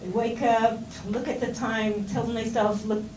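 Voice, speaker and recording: A woman's voice: fairly high pitch, little pitch movement, measured pace. A middle-aged Israeli woman giving an informal talk to seminar students, with a Hebrew accent in her English and many fillers and restarts. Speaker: speaker at a seminar. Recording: roomy and distant, with noise.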